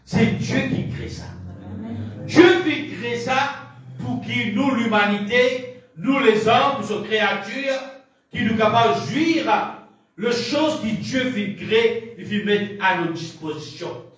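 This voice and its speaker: A man's voice: extremely high pitch, takes it slowly, fairly bright tone. A middle-aged man with a Mauritian accent preaching a sermon in short, repeated, rhythmic phrases, recorded from a distance in a small indoor room. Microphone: camera at a distance across the room. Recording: echoey room picked up from far off.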